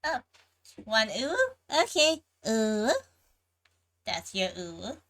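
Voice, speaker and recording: A woman's voice: fairly high pitch, very animated, drawn-out words, fairly bright tone. A woman hosting a livestream, chatting casually and reacting to a game in an easygoing, hesitant way that trails off between thoughts. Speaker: livestreamer, VTuber host.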